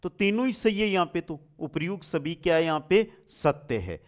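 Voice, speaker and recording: Slightly high-voiced male teacher. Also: lively intonation, moderate pace. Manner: familiar, addresses students affectionately, repeats answers. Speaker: online exam-prep history teacher. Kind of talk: quiz lesson, reading questions and explaining answers.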